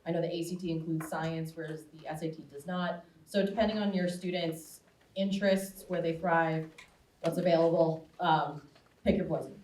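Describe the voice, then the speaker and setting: A woman's voice: low voice, fairly even intonation, moderate pace, darkish tone. A woman working as a college admissions counselor, answering questions on a panel in a friendly, chatty way with frequent ums. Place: school auditorium. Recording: echoey hall, distant mic.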